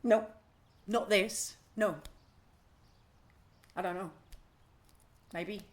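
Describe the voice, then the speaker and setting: A woman playing a brusque, socially awkward, overwhelmed woman in a naturalistic audio play, speaking bluntly in halting, repeated phrases. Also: medium pitch, fairly even intonation. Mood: blunt, exasperated. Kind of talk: naturalistic audio drama acting.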